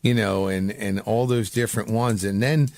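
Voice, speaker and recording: A man's voice: fairly low pitch, fairly even intonation, moderate pace, vocal fry, a little mellow, no echo. A man hosting a casual radio interview, chatting in an informal, rambling way. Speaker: radio show host.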